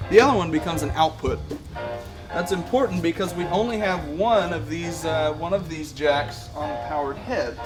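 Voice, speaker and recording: A man presenting an informal hands-on equipment tutorial in a practical, conversational, explanatory way. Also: on the high side.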